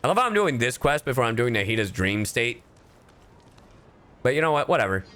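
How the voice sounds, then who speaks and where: A man livestreaming to his viewers, chatting in an expressive, theatrical, sweary way. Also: medium pitch, fairly quick. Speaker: streamer, voice actor and cover singer. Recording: music behind. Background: music.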